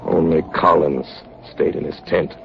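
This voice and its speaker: An actor playing a weary, loyal chief officer on a stranded Arctic expedition in a 1930s radio drama, plain-spoken and earnest. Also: male voice, extremely low pitch, fairly even intonation, measured pace, creaky, full character voice. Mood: sombre recounting. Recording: dull, noisy 1938 radio broadcast recording.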